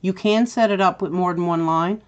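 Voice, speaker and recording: A middle-aged woman with a Newfoundland accent, chatty and step-by-step as she hosts a software tutorial. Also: fairly low pitch, normal speed, clear, resonant tone, muffled recording. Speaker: tutorial host. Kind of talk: screen-recorded software tutorial.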